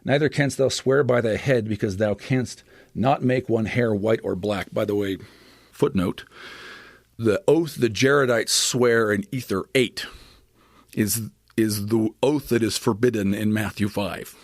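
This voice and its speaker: A man on a podcast as a guest, explaining scripture in an animated, expressive teaching manner with frequent restarts. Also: lowish voice, unhurried, no echo, clean studio sound.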